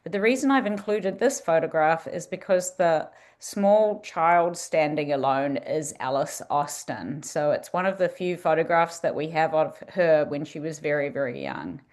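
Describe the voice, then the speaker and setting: A woman's voice: low voice, moderate pace, few pauses, a little mellow. A woman from New Zealand with a New Zealand accent, presenting as a museum director in a friendly manner with frequent ums, over a video call. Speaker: museum director giving a talk.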